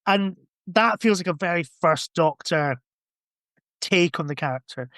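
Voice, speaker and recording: A man's voice: on the high side, expressive, unhurried, a bit bright, no echo. A man chatting casually in a rambling, hedging way, as a guest on a podcast. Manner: hedging, rambling, full of kinda and sort of. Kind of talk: casual chat about science fiction books.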